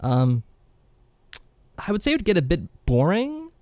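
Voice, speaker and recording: A man's voice: a little high, huge pitch swings, measured pace, darkish tone, dry recording, studio quality. A man co-hosting a podcast, casually recapping a game in a wry, tongue-in-cheek way. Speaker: podcast co-host.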